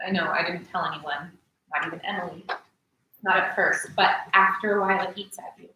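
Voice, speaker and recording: Actress doing intense bitter young woman, forceful bright voice, echoey and distant. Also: fairly low pitch, fairly even intonation, brisk. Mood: intense, pained. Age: young adult. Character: intense young woman, bitter about past self-blame. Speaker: actor. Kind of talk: staged play reading, naturalistic drama. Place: large echoey indoor room. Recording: echoey hall, distant mic, faint noise.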